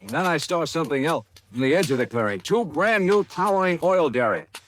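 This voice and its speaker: Animated hard-boiled radio detective played by a man. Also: fairly high pitch, fairly quick, very noisy, natural voice. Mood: wry narrating. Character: brisk hard-boiled male insurance investigator, wry and tough. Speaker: lead detective and narrator. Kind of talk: 1950s radio detective drama acting. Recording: old radio recording with music.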